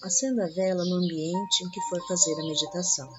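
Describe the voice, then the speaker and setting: A Brazilian woman narrating a scripted guided meditation as the guide, with slow, soothing, instructive phrasing. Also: lowish voice, fairly even intonation, background noise. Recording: dull lo-fi audio under loud music. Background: loud music with birdsong.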